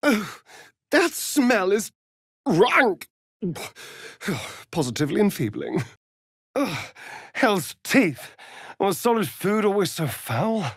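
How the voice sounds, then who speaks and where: Sardonic vain British vampire, video game role, slow arch drawl, very animated pitch. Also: male voice, slightly rough, no room sound, clean studio sound, heavily acted. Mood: indignant, exasperated. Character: sardonic, vain male vampire rogue, theatrical and catty. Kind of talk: video game character voice lines.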